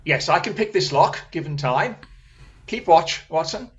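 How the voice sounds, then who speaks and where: A keen, assured gentleman detective as acted out in an audio drama, speaking in formal, precise phrases. He takes command with theatrical confidence, at a medium pitch. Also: lively intonation, unhurried, lo-fi sound, natural voice. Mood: dry, composed.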